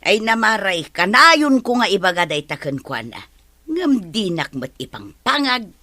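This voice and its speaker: Woman acting aggrieved village wife, Ilocano accent, bright tense voice, medium pitch, long-suffering, radio comedy drama. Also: sing-song, measured pace, exaggerated voice. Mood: aggrieved, weary.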